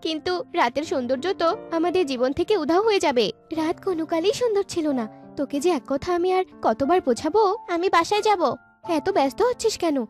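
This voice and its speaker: A woman's voice: very high-pitched, expressive, fast, darkish tone, soft background music. A woman voicing a chatty, argumentative young village girl in a cartoon. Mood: debating. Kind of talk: cartoon dialogue.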